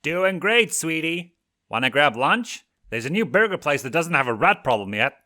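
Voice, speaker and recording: A man's voice: a little high, big pitch swings, normal speed, pushed and bright, no echo, clean studio sound, voice pushed up. Man voicing a brash, blustering little imp. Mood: eager, doting. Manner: crude, casual, blustering. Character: small brash male imp, crude, needy, doting father. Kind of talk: fan fiction story reading.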